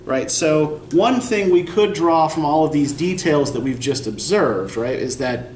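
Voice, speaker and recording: A man's voice: on the high side, animated, a little slow, darkish tone, recorded from a distance, a little hiss or hum. A young man from Eastern Pennsylvania teaching a college writing class, talking casually, rambling and self-deprecating, often checking in with "right?". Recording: echoey classroom recorded from afar.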